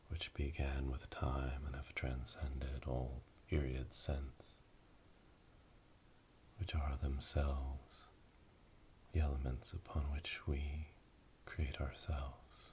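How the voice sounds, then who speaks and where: A man playing a solemn witch leading a dark initiation ritual in an ASMR roleplay, whispering slowly and breathily in a monotone incantation, recorded close on binaural microphones. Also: very deep voice. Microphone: binaural microphones, close to the ears. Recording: close binaural recording with clear background noise.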